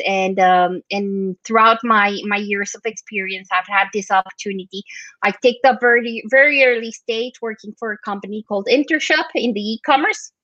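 A woman's voice: medium pitch, a bit bright, no room sound. Woman in her 40s from colombia, lots of uh and restarts, dull dry sound like a call. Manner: hesitant, many fillers and restarts. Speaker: guest, marketing leader. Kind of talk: podcast interview.

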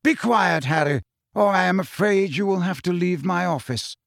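A man voicing a calm, wise old headmaster in an audiobook, courteous and measured with gentle irony. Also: high-pitched, dry recording, clean studio sound, voice pushed up, full character voice. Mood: calm, cheerful. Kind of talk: audiobook character voice.

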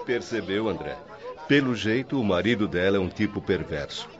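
An actor playing a knowing guide who explains matters matter-of-factly, in a radio play. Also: male voice, on the low side, lively intonation, a little slow. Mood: explanatory. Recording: dull lo-fi audio with crowd. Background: crowd noise.

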